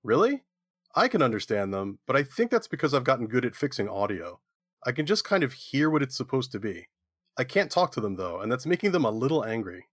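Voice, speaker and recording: A man's voice: mid-range voice, sing-song, a little fast, a bit bright, dry recording, clean studio sound. A man playing a harried half-banana gnome audio editor who makes puns in a comedy audio drama. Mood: hapless, punning.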